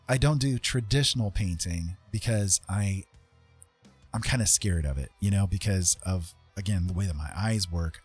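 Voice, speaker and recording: A man giving a casual live-stream painting tutorial in an informal, self-deprecating way, with a husky voice. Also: low voice. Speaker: art streamer and author. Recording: soft guitar music behind voice. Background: guitar music.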